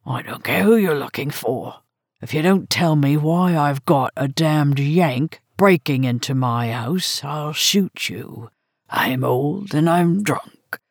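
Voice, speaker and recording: A ferocious old British woman, drunk and slurring, her low voice gravelly and rough, as a woman reader puts her on. She drags her words out slowly and with open scorn, and her voice sits a little back from the mic. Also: no echo, clean studio sound, full character voice. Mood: menacing. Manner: slow, contemptuous drawl.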